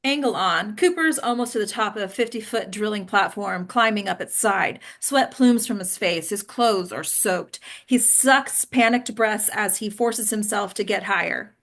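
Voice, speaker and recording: A woman's voice: mid-range voice, normal speed, few pauses, fairly bright tone. A woman reading screenplay action lines as scripted narration at a table read. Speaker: stage directions reader.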